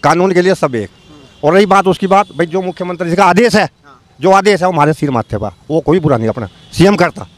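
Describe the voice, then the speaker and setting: Fast, challenging man in a street debate. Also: high voice, animated, dry recording. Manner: argumentative, repetitive, challenging. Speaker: member of the public being interviewed.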